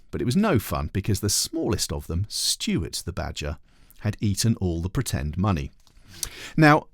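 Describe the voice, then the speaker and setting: A man reading a children's story aloud like a teacher, with expressive, dramatic emphasis. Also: fairly low pitch, no echo, studio quality. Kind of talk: animated storybook narration.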